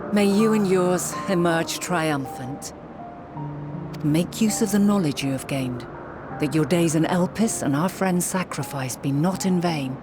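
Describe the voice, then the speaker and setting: An actress plays a wise ancient woman leader in a fantasy game, with a low voice over prominent music. Her delivery is measured and solemn, with formal, archaic diction and a resolute core. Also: lightly acted. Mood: solemn, kind. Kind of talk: dramatic scripted game acting.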